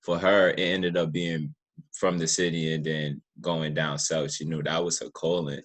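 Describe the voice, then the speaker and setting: A man's voice: rumbling low, flat delivery, normal speed, fairly bright tone. An American man hosting a conversational interview in an encouraging, affirming way. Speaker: podcast host, interviewer.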